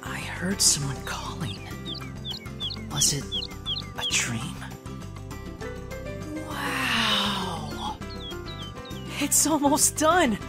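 A woman voicing an excitable, dreamy young boy who is earnest and easily flustered, in a manga dub. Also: medium pitch, drawn-out words, long pauses. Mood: dreamy, musing. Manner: earnest, animated. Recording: loud music under the voice.

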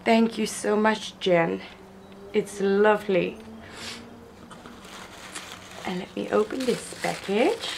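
Young woman, slow and hoarse, gravelly, gushing over gifts; camera mic at a table, faint room noise. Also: mid-range voice, fairly even intonation. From Netherlands. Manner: gushing, slow, admiring, repeats praise. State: hoarse from illness. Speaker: crafter showing gifts. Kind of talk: casual unboxing vlog. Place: indoors at a wooden table.

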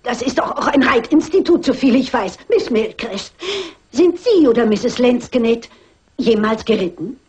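Elderly lady detective, very raspy voice, polite, insistent, wry, radio crime drama. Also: a little high, expressive, moderate pace, a little mellow, muffled recording. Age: older. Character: shrewd, persistent elderly lady amateur sleuth. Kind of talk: German radio crime play acting.

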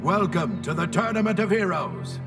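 An actor playing a towering horned demonic game master, a pompous, theatrical and menacing video game villain. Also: male voice, sits high, lightly acted. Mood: grandiose. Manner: pompous, theatrical, drawn-out delivery. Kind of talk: video game cutscene acting. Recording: long reverb, distant, loud music behind.

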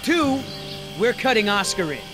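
A man's voice: high voice, big pitch swings, moderate pace. An actor playing a cocky, menacing male mercenary in a video game, mocking and bossy. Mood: smug, bossy. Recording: music under the voice.

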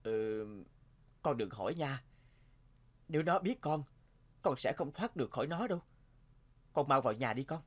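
A man playing a troubled, sentimental family man in an animated drama, speaking earnestly and reflectively. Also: medium pitch, moderate pace, pauses often, natural voice. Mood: hushed, uneasy.

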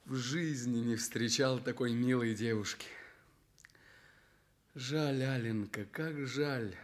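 An actor playing a wistful, smitten young journalist in a Soviet radio drama, tender and brooding. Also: male voice, mid-range voice, slow pace, natural voice. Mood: admiring, wistful.

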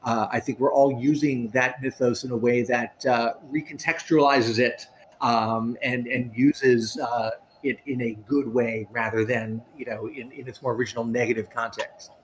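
A man giving casual opinions as a panelist on a video call, somewhat halting as he talks. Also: medium pitch, poor audio. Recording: steady background noise, video call.

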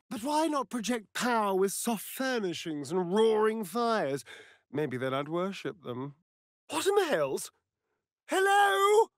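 An elegant vampire rogue for a fantasy game, speaking with a British accent. He drawls unhurriedly, his pitch swooping with mockery and flirtation. The delivery is vain, arch and openly theatrical. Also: dry recording, studio quality, heavily acted. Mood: teasing, low, then alarmed.

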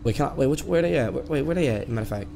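A man's voice: mid-range voice, animated, rapid-fire, creaky. A man streaming a roleplay video game, chatting casually in slangy, profane street talk over noisy audio. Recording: noisy, vehicle sounds behind voice.

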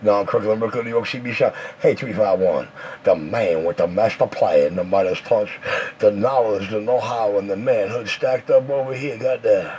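A man's voice: fairly low pitch, normal speed, a little mellow. Swaggering man on a noisy CB radio. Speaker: CB radio operator. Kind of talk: slangy CB radio chatter.

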